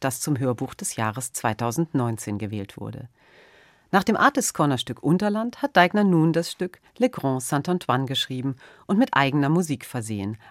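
A woman's voice: a bass voice, no room sound, clean studio sound. A woman hosting a radio programme, giving prepared introductions and interview questions.

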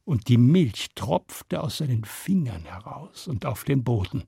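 A man telling a fairy tale to children, expressive and dramatic, with deliberate pauses and emphasis. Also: medium pitch, creaky, slightly rough, no room sound, studio quality. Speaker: storyteller.

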